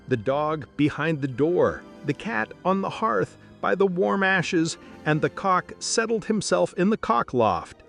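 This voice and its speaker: Expressive man narrating a bedtime fairy tale. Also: fairly high pitch, gravelly. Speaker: storyteller.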